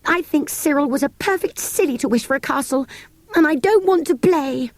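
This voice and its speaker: Brief: fretful timid young girl, high, voiced by a woman. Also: moderate pace, dry recording. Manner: complaining.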